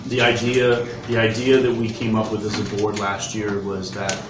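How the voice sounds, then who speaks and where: A man leading an informal committee discussion, halting a little as he thinks aloud. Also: on the low side, monotone, over music. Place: indoor room at a public meeting. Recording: echoey room, distant mic, heavy background noise.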